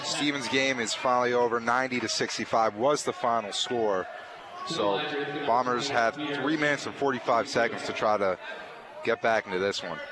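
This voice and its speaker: Male basketball commentator with clear background noise. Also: medium pitch, moderate pace. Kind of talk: live sports commentary. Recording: noisy gym broadcast.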